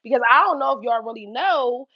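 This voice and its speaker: A young woman with a Philadelphia accent telling a story on her livestream, animated and swearing freely between laughs. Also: a little high, a little fast, breathy edge, no room sound, highs cut off. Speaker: YouTube livestream host. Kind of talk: casual livestream storytelling to chat.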